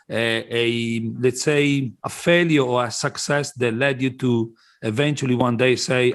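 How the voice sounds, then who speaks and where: A man with a mid-range voice hosts a podcast interview. He speaks conversationally, in halting phrases with pauses as he works toward his questions. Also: mid-range voice.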